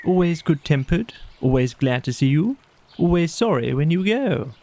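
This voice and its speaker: Storybook water rat, male, breezy and good-natured, mellow with a creaky edge man acting. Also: on the high side, expressive, moderate pace, pitched above the performer's own voice. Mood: good-natured, eager. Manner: breezy, chatty, enthusiastic. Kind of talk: storybook character voice in an audio play.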